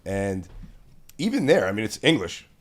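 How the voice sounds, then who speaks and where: A man's voice: on the low side, sing-song, moderate pace. A young man hosting a podcast, joking and teasing in casual chat. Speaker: podcast host.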